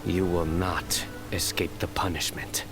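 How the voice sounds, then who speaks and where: An actor playing a stern, measured male law enforcer with deadpan delivery in a video game. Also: low voice, music in the background. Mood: grave, threatening.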